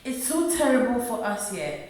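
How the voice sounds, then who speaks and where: A woman's voice: a little high, very animated, normal speed, darkish tone. A woman acting a pleading wife in an echoey room. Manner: earnest, reproachful, repeating her husband's name. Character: worried, pleading, poor young mother and wife. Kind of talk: radio play acting.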